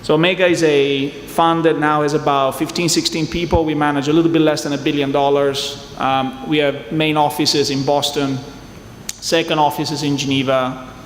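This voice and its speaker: A man's voice: on the high side, flat delivery, moderate pace, a little hiss or hum. A middle-aged man from southern Italy with an Italian accent, a venture investor chatting and joking with a conference audience. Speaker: venture capital investor on a panel. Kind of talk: conference fireside chat. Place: conference hall. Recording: echoey hall, faint ambience.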